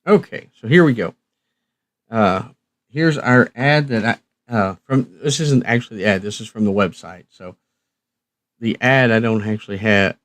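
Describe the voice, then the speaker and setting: A man's voice: lowish voice, dry recording. A man hosting a casual live stream, talking in a folksy, rambling and hesitant way with trailing phrases. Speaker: live stream host, radio reviewer.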